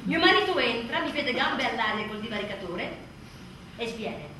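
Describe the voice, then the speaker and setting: A woman's voice: on the high side, very animated, normal speed. An actress playing an exhausted, sardonic new mother in a theatrical radio monologue, emphatic and full of sarcasm. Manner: emphatic, sarcastic, mimicking others mockingly. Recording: echoey room, distant mic, faint noise.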